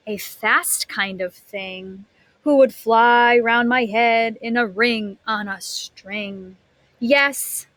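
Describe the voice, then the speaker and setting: Female kindergarten teacher, higher voice, unhurried expressive storytime delivery with drawn-out words, dry close sound. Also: no echo. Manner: expressive, drawn-out words, gasps, questions to listeners. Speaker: kindergarten teacher reading aloud. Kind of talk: animated read-aloud to young children.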